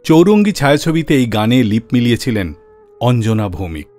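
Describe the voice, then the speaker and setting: A man's voice: medium pitch, very animated, brisk, darkish tone, faint music behind. A man hosting a radio show, telling scripted stories about classic songs.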